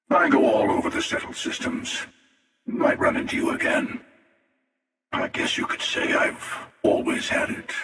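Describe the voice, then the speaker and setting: A man voicing a cynical, world-weary hunter in a video game, gravelly, sardonic and theatrical, with amused menace. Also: sits high, a bit bright, full character voice. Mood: wry musing. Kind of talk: scripted video game character dialogue. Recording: cavernous echoing reverb, distant.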